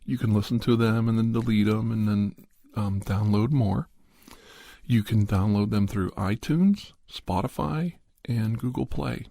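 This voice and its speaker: Husky, creaky-voiced man, hushed ASMR talk. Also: on the low side, unhurried, dry recording, clean studio sound. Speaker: podcast host. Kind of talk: ASMR, rambling update and story recap.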